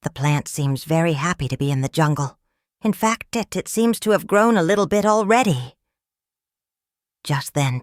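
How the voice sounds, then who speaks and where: A woman reading a children's story aloud in an expressive storytelling manner. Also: deep voice, no echo, studio quality. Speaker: storybook reader. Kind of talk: scripted read-aloud narration of a children's story.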